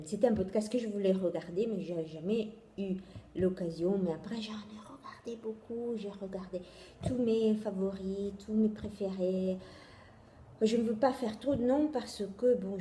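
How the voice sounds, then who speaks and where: A woman's voice: mid-range voice, dark tone, roomy sound. A woman from Italy with an Italian accent, hosting a casual knitting podcast and speaking French with many fillers and restarts. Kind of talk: casual video podcast chat. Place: home in Italy.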